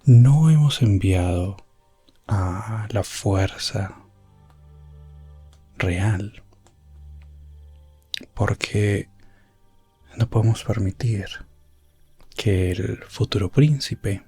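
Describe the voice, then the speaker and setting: A man voicing a grave, guarded queen in a whispered fantasy ASMR story, speaking formally and haltingly. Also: lowish voice, warm tone, husky, soft background music, exaggerated voice. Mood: grave, worried. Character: grave, guarded queen pleading for her kidnapped daughter.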